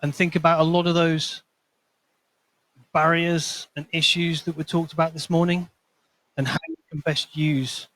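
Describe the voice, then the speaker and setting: A man's voice: on the high side, little pitch movement, unhurried, long pauses, a bit bright. A man presenting to a conference audience, explaining with a bit of storytelling and light humor. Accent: not Scottish. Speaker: conference speaker, consultancy manager.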